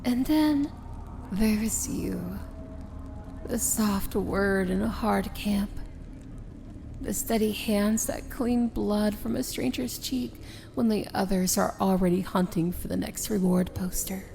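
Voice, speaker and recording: Casting: female actor as proud, tender villain princess for ASMR fantasy roleplay; unhurried, theatrical, intimate delivery. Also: mid-range voice, vocal fry, slight background noise. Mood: tender, knowing. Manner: theatrical, deliberate, often dropping to a hushed whisper. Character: proud, tender princess of evil, wry and regal.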